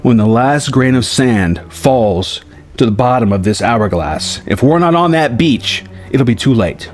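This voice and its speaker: A man voicing a composed older male mentor in a story, explaining things in a measured way. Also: mid-range voice, expressive, a little mellow, close to an everyday voice. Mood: calm, grave. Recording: music behind the voice.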